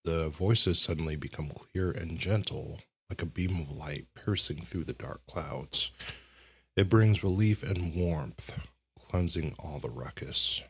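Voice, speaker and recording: Low-voiced male game streamer. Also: a little slow, breathy edge. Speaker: game livestreamer. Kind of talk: casual gameplay reactions and reading aloud unvoiced story text. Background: game music.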